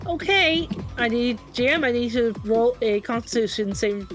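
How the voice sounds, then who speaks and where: A woman player at a tabletop game, animated and reactive, quick to exclaim. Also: a little high, soft background music. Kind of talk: casual play at the table.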